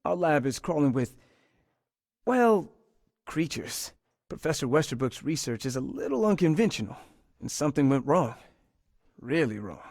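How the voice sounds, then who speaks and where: A man's voice: a little high, big pitch swings, moderate pace, vocal fry, pauses often, a little mellow, no echo, studio quality, heavily acted. An actor playing an earnest university scientist in a video game, polite and a little hesitant as he explains things. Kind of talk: video game dialogue acting.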